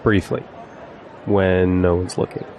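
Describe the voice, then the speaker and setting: An actor playing a confident, sarcastic young college graduate in an audio drama, with wry, joking delivery. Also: male voice, sits low, fairly even intonation, unhurried, darkish tone. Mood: wry, casual. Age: young adult. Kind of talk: scripted audio drama dialogue. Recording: faint background noise, outdoor crowd ambience.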